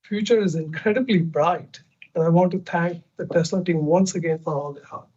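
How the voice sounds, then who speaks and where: High-pitched man reading a financial report. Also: moderate pace, a little mellow, breathy edge. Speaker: company finance executive. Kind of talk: scripted earnings report on a conference call. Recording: dull band-limited conference call audio.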